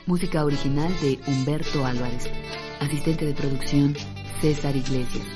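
A man's voice: a little high, fairly even intonation, normal speed. A man reading scripted credits as an announcer for a radio drama. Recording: announcement over loud guitar music.

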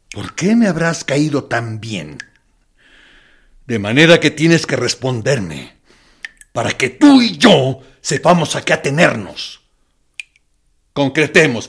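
A man's voice: mid-range voice, breathy edge, exaggerated voice. An actor playing a suave, menacing military interrogator in a radio drama, theatrical, falsely kind and persuasive as he coaxes and threatens. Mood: insistent.

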